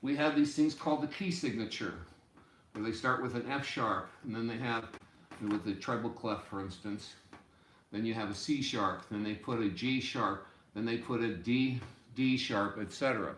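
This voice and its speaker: A man in his sixties giving an informal music lesson, explaining deliberately and step by step in a small room. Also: medium pitch, fairly bright tone, slight background noise. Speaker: music teacher. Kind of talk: informal instructional explanation at a whiteboard. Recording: roomy, echoey home room sound.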